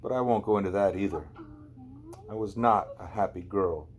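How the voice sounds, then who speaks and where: A man's voice: sits low, normal speed. A man narrating a first-person novel as an amateur audiobook reader, in a conversational, wry storytelling way.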